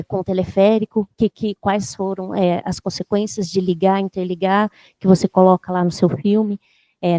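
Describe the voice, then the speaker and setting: Flat-voiced woman lecturer musing aloud. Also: mid-range voice, no room sound. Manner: reflective, searching for words. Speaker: university lecturer. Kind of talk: academic panel commentary.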